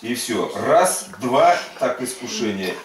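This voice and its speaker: A man's voice: mid-range voice, lively intonation, takes it slowly. A man working as a faith healing preacher, giving insistent prayer commands and coaching in short, repeated phrases. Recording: echoey room, distant mic, faint noise.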